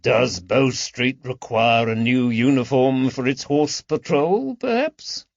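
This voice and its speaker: A man's voice: mid-range voice, slow pace, creaky, no echo, lo-fi sound. A man voicing a witty, urbane tailor shop owner in his thirties, teasing, in an audiobook.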